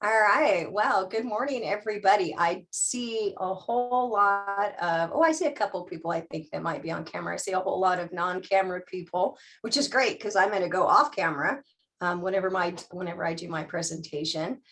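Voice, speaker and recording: A woman's voice: lowish voice, brisk, fairly bright tone. Middle-aged woman guest speaker, chatty and self-deprecating, heard over a video call. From California. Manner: chatty, self-deprecating, many fillers and restarts. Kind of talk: casual chat then presentation on Zoom.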